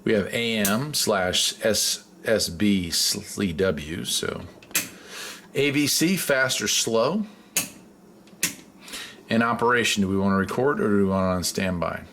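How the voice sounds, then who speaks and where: A man giving a casual hands-on demonstration as a hobbyist, unhurried and thinking aloud. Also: lowish voice, lively intonation, fairly bright tone. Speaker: radio hobbyist presenter. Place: indoors beside a shortwave receiver. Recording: clear background noise, radio static.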